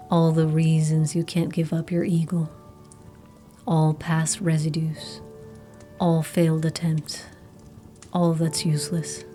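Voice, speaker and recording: A woman narrating a scripted guided meditation in a soothing, hushed, soft and breathy manner. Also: low voice. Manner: soothing, slow, hushed delivery. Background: meditation music.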